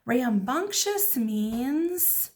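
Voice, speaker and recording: A woman voicing a weary, restrained male first-grade teacher in a storybook, measured and quietly firm. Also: sits high, big pitch swings, heavily acted. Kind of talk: children's storybook read-aloud.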